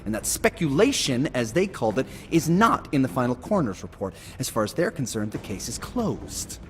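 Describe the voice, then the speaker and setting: An actor playing a wry, dogged forensic investigator in a video game, talking in a chatty, emphatic way. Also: male voice, medium pitch, big pitch swings, fairly quick, a bit bright, slight background noise. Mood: incredulous, explaining. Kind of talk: cinematic video game acting. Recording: game soundtrack music behind.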